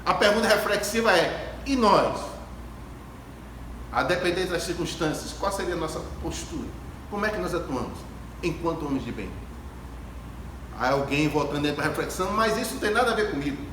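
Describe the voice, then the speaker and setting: Distant noisy phone recording, man, slightly high voice, emphatic spiritual talk, rhetorical questions, repeated phrases. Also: expressive, fairly quick, pauses often, fairly bright tone. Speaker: speaker at a Spiritist gathering. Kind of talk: spiritual lecture to an audience, storytelling and reflective. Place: ecumenical hall before an audience. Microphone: distant phone camera. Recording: echoey hall, distant phone camera, clear background noise.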